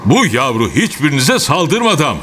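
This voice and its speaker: A man's voice: mid-range voice, very animated, normal speed, background noise, close to an everyday voice. An actor voicing a stern, protective old grandfather in a cartoon dub, speaking firmly and emphatically. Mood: firm, defiant. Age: older. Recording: loud orchestral music behind.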